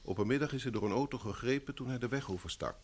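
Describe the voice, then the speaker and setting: A man's voice: mid-range voice, quick pace, slight background noise, lightly acted. An actor in an old Dutch radio play, playing a polite, wealthy businessman, earnest and troubled, with a formal, courteous manner. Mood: grave, troubled.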